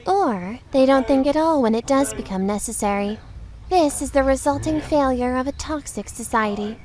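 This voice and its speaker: A woman playing a mysterious thirteen-year-old telepath girl who speaks like a wise old sage, solemn and measured, in an audio drama. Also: high-pitched, darkish tone, lightly acted. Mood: earnest, lecturing. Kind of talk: scripted audio drama acting.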